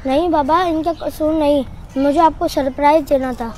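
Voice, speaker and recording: Child performer as sincere, sorry little boy for a moral drama; high-pitched, slight location noise. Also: steady tone, moderate pace, a little mellow, natural voice. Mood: earnest, pleading. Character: earnest, apologetic, kind-hearted young boy. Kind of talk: acted dialogue in a moral drama. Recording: noisy location sound with ambience.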